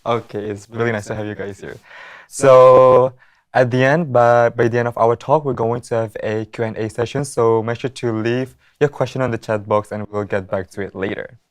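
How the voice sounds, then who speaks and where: A man's voice: mid-range voice, steady tone, moderate pace, no echo. Young Indonesian-accented man hosting, dry sound. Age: young adult. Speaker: student host. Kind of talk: talk show hosting.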